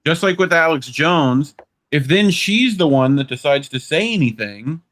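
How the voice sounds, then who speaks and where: A man's voice: on the high side, lively intonation, normal speed, fairly bright tone. A man giving casual political commentary in a group chat, explaining his opinions. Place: online group call.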